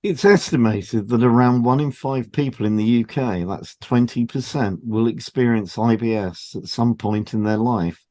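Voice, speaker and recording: A man with a mellow, relaxed voice and mid-range pitch reads scripted material as a podcast co-host. His delivery is measured and explanatory, suited to an educational programme.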